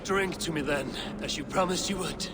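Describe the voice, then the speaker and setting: A man plays a confident Norse warrior god from a fantasy game. His delivery is formal and commanding, pitched a little high. Outdoor ambience is clearly heard behind. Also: moderate pace, noisy background, natural voice. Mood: proud defiance. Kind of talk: video game voice acting.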